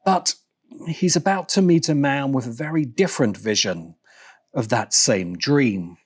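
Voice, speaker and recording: A man narrating a scripted video essay in a deliberate way, with dramatic pauses. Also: medium pitch, lively intonation, slightly rough. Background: background music.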